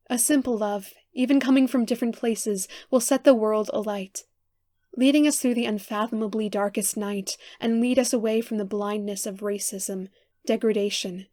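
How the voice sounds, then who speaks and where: A woman reading her poems aloud for an audiobook, with an earnest, measured delivery. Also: mid-range voice, warm tone, no room sound. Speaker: poetry reader. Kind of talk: poetry audiobook recitation.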